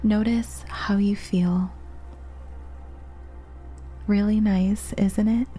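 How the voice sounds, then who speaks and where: A woman narrating a guided relaxation meditation in a soft, gentle, soothing manner with long pauses. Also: medium pitch, fairly even intonation. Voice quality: soft, breathy. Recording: meditation music behind the voice.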